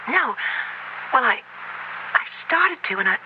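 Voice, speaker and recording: An actress playing a nervous, fascinated young student dabbling in the occult, hesitant and dramatic, in a radio horror drama. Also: female voice, high voice, big pitch swings, slow, exaggerated voice. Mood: excited, uneasy. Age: young adult. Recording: noisy, muffled 1970s radio recording.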